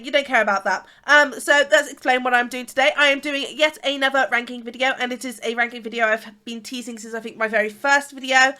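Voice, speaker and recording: Congested young woman, bright voice. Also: high voice. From England. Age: 20s. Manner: rambling, chatty, many fillers. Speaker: YouTuber. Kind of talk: casual chat.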